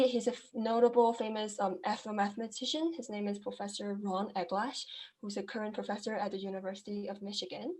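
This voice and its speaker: A woman's voice: on the high side, darkish tone. A young woman from China, raised in Kenya, answering interview questions in a casual, chatty way with many fillers and restarts. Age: young adult. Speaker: guest entrepreneur.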